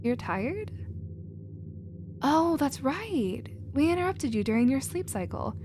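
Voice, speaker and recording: Woman as a caring, higher-pitched female alien. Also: slow, background noise, full character voice. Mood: tender, bubbly. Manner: casual, nurturing, expressive. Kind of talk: scripted sci-fi audio roleplay, ASMR.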